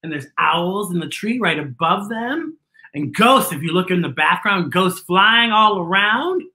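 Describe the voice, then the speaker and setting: A middle-aged man reading a picture book aloud to children with theatrical, exaggerated, drawn-out delivery. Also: high voice, expressive, bright, forceful tone, echoey room. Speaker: children's storytime host.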